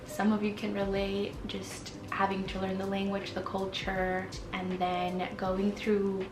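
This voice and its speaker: A woman's voice: medium pitch, monotone, a little slow, echoey room. Young woman vlogger with Spanish as her first language, chatty and candid, recorded from a distance with noise behind. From Mexico. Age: 20s. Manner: candid, chatty, frequent fillers. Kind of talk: casual chat to camera. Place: her apartment.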